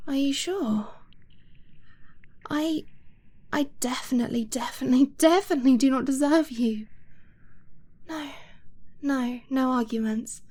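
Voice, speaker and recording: Woman playing a devoted dragon-blooded girlfriend, breathy and whispery, slow, hesitant, possessive yet insecure, affectionate ASMR roleplay. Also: high-pitched. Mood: overwhelmed, insecure. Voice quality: soft, breathy, often slipping into whisper. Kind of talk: romantic ASMR roleplay, intimate and close.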